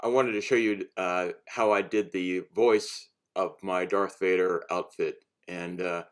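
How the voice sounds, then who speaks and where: A man's voice: low-pitched, fairly even intonation, unhurried, smooth. A man giving a casual how-to talk as a hobbyist showing homemade gear, rambling and hesitant, often breaking off to restart. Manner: rambling, hesitant, self-interrupting.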